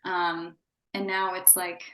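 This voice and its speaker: A woman's voice: fairly low pitch, flat delivery, slow, a bit bright, echoey room. A woman in her thirties, a psychotherapist who grew up in Indonesia, talking in a chatty, upbeat way with fillers in a casual interview. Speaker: psychotherapist guest.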